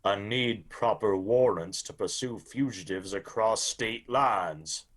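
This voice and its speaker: A man playing a blunt, grimly firm country lawman in a radio drama, with an Appalachian accent and a measured, folksy manner. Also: on the low side, fairly bright tone.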